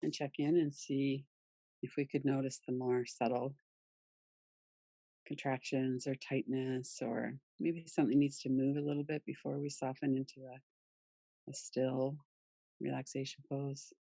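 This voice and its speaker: Female meditation guide, soft-edged dark tone, close dry sound, soothing delivery with pauses. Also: very deep voice, little pitch movement, vocal fry, dry recording, low-quality recording. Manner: soothing, gentle, unhurried with pauses. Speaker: yoga nidra meditation guide.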